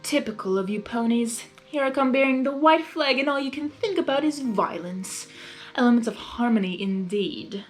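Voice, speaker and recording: A woman's voice: on the high side, lively intonation, normal speed, faint music behind, natural voice. A woman voicing a sly, theatrical male creature villain in a story reading, with a sarcastic drawl and a mocking manner. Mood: teasing, indignant. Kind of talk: fan fiction character reading.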